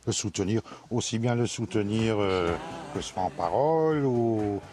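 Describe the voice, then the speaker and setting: A man interviewed in a TV report, answering in a halting way as he searches for words. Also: lowish voice, over music.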